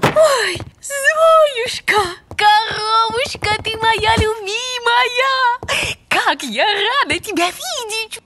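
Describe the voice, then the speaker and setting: A woman's voice: extremely high pitch, lively intonation, extremely slow, pushed and bright, full character voice. A woman voicing a theatrical, self-pitying winged pig in a cartoon, gushing and plaintive, with music behind her. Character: melodramatic, self-pitying winged pig girlfriend, squeamishly dramatic. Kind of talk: animated cartoon voice acting.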